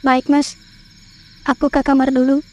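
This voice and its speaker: A woman's voice: high voice, completely flat delivery, normal speed, mellow, highs cut off, lightly acted. A woman playing a stubborn, suspicious young bride-to-be in a horror cartoon, with an Indonesian accent. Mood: compliant.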